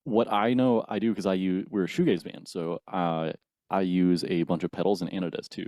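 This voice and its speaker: Young male musician, early twenties, very low register, explanatory casual interview talk, dry room. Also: fairly even intonation, moderate pace, a little mellow, no echo. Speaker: band bassist, guest.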